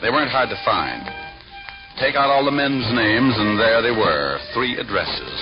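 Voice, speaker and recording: An actor playing a hard-boiled private detective, dry, sardonic and slangy, in 1940s radio noir style. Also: male voice, lowish voice, expressive, moderate pace, lightly acted. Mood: wry, narrating. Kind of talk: first-person noir narration, 1940s radio acting. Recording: muffled vintage radio recording with music.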